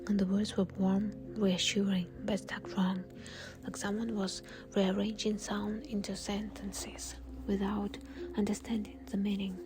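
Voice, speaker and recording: A woman's voice: medium pitch, level tone, measured pace. Whispering woman telling a horror story. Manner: breathy, unvoiced whisper throughout. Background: soft music.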